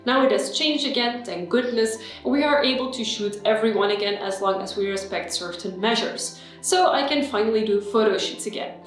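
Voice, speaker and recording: A woman's voice: fairly high pitch, moderate pace, few pauses. Echoey woman vlogging. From Belgium. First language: Dutch. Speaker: YouTuber. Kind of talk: personal vlog talk to camera. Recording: very echoey room with faint music.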